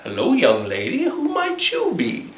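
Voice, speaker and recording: Man putting on a high, pompous, sly fox. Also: wildly swooping pitch, exaggerated voice. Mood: wheedling, sly. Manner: pompous, drawn-out formal speech. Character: sly, pompous, indignant male fox, genteel and cunning. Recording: roomy, echoey sound.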